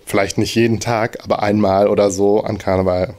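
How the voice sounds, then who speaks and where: A man's voice: fairly low pitch, little pitch movement, a little fast, darkish tone. A man hosting a casual podcast chat, talking in loose, hesitant sentences with many restarts. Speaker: podcast host.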